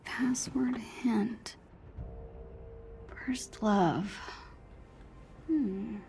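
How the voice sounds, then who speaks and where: A woman's voice: fairly high pitch, very slow, warm tone, soft background music, exaggerated voice. A young woman playing an eager, restless American teenage girl who mutters to herself in a video game. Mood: excited muttering. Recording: clear ambient noise.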